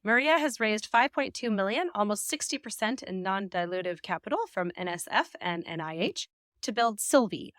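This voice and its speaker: A woman's voice: mid-range voice, a bit bright, no echo, studio quality. A woman hosting a podcast, reading a scripted guest introduction with an upbeat delivery, then asking interview questions. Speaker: podcast host.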